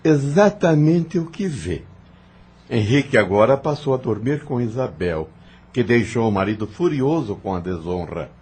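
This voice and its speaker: A man playing a calm, patient spirit mentor in an audio novel, speaking in a solemn, formal, instructive manner. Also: mid-range voice, moderate pace, breathy edge. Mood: calm, frank.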